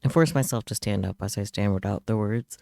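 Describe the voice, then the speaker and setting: A man's voice: on the low side, brisk, gravelly, dark tone, no room sound, clean studio sound. A man reading a visual novel aloud as a streamer, narrating it dramatically in the first person. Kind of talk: dramatic read-aloud narration and translated dialogue.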